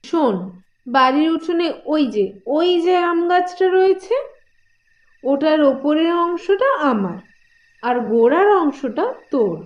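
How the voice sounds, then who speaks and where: Woman playing a high-pitched, scheming elder sister. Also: animated, measured pace, dull audio. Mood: bossy coaxing. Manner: sly, coaxing, theatrical. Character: cunning, bossy elder sister, a girl scheming gleefully. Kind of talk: cartoon voice acting in a moral tale.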